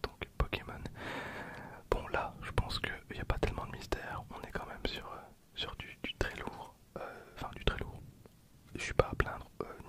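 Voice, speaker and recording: A man in his twenties whispering for an ASMR video, soft and hesitant with frequent fillers, speaking close to a recorder. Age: 20s. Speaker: ASMR creator. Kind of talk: whispered ASMR card opening. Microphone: handheld recorder behind the camera.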